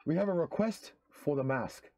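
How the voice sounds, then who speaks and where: A man on a podcast earnestly making his case to the listeners, with casual swearing. His voice sits a bit high for a man. The sound is dry and close. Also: expressive, normal speed, dark tone, dry recording. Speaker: co-host.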